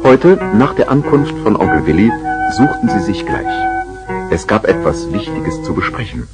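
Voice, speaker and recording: A storyteller narrates a children's tale. The delivery is scripted narration from a 1970s radio play. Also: male voice, mid-range voice, wildly swooping pitch, normal speed, over loud music. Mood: measured.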